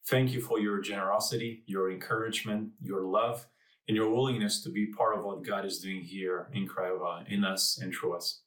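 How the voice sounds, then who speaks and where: A man from Romania speaks as a missionary in a recorded video message. His low voice is earnest and scripted, and it sounds distant and roomy. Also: fairly even intonation, normal speed, fairly bright tone. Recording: echoey, distant video audio.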